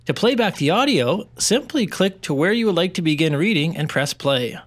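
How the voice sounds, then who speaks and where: A man presenting a scripted tutorial in a clear, instructional way. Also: fairly high pitch, lively intonation, moderate pace. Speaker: tutorial presenter, educational technologist.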